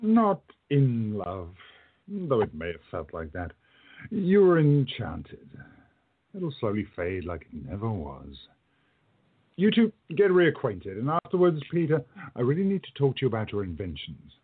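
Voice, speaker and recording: An actor playing a commanding, wry older time traveler with an authoritative, scolding way in a radio play. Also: male voice, mid-range voice, wildly swooping pitch, measured pace, husky, phone-quality sound, full character voice. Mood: calm, knowing.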